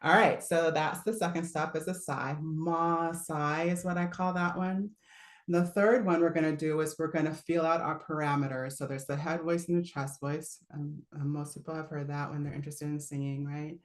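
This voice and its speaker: A relaxed woman with a low voice coaches singers in a live online class. She chats easily, laughs often and breaks off to demonstrate sounds. The recording has a roomy echo around her. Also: moderate pace. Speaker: voice teacher. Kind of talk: live online singing lesson.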